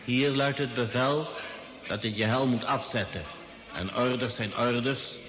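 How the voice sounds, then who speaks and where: A man playing a mysterious unseen voice in an old radio drama, calm, coaxing and commanding in a measured, insinuating way. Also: medium pitch, lightly acted. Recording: reverberant old radio play, music behind.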